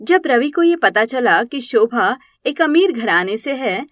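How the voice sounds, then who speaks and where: A woman's voice: on the high side, lively intonation, unhurried, dry recording, studio quality. A woman narrating a scripted story.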